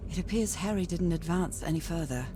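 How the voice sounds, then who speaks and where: Low-voiced 1930s woman explorer, played by an actress. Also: a little fast, a little hiss or hum, close to an everyday voice. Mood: curious. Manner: wondering aloud to herself. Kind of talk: video game voice acting. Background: loud game music.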